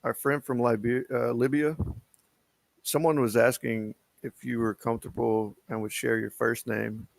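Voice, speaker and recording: A man's voice: mid-range voice, flat delivery, moderate pace, gravelly, dry recording. A man teasing and joking with others in a casual voice chat.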